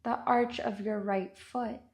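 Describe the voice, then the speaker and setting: A woman guiding a body scan meditation with gentle, unhurried instructions and long pauses. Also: medium pitch, steady tone. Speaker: meditation guide.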